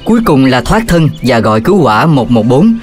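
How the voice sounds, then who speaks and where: A man's voice: mid-range voice, sing-song, fairly quick, faint music behind, natural voice. A man with a Southern Vietnamese accent voicing a kindly firefighter who instructs children in a cartoon. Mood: kindly, instructive. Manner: encouraging, instructive.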